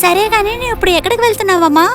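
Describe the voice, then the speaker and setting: A woman voicing a determined, spirited young daughter in an animated moral story. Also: very high voice, rapid-fire, soft background music. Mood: concerned. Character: determined, spirited young daughter who dreams of being a chef.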